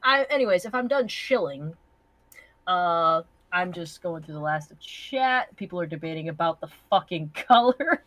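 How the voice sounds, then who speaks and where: A woman chatting casually and profanely on a livestream, joking in an animated way and reading out comments. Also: fairly low pitch, moderate pace, no echo. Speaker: livestream co-host.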